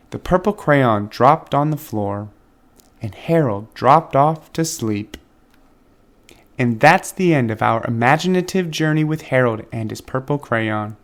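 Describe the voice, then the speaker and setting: A man reading a picture book aloud to children with expressive, dramatic phrasing. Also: medium pitch. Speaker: children's book reader and channel host. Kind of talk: expressive storybook read-aloud.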